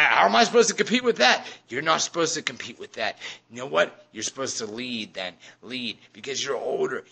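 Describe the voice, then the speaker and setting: A man's voice: on the high side, vocal fry, sharp tone. A man giving an impromptu motivational speech in an emphatic, preacher-like way, hammering phrases by repeating them and urging listeners on with swells and drops. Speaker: motivational speaker and online business mentor.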